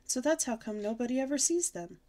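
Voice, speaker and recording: A woman's voice: fairly high pitch, expressive, fairly quick, mellow, pitched above the performer's own voice, lightly acted. A woman voicing a serious little schoolgirl who speaks in an earnest, matter-of-fact way.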